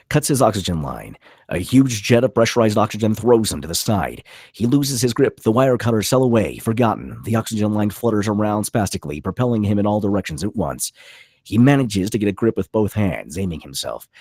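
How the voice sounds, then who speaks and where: Male narrator for a screenplay read: low husky voice, very dynamic pitch, punchy clipped delivery. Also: big pitch swings, brisk, a little mellow, dry recording, clean studio sound. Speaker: narrator reading stage directions. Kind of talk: dramatic scripted narration.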